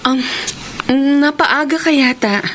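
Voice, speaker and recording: An actress in a radio drama playing a devoted woman who speaks softly, pleading and close to tears. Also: high voice, slow pace, noisy background. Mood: tender. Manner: soft, pleading, tearful. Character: Alice, a devoted woman ready to let go. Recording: radio drama with music behind.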